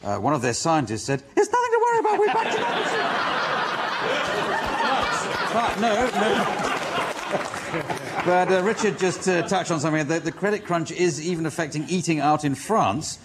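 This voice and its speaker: A man's voice: high voice, big pitch swings, moderate pace, long pauses, a loud crowd, heavy background noise. A man hosting a panel show, joking and teasing his guests. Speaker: host.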